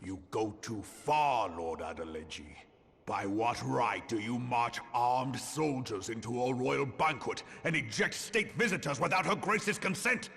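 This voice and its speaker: Gruff game general: gravelly, forceful, indignant, ringing hall with music behind. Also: male voice, mid-range voice, a little slow. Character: gruff, indignant army general, loyal to the throne. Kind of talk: theatrical video game voice acting.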